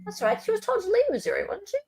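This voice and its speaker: A woman's voice: very high-pitched, wildly swooping pitch, rapid-fire, vocal fry, a little mellow. A woman hosting a casual live legal commentary chat, talking informally and directly to her viewers. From Australia. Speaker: livestream host.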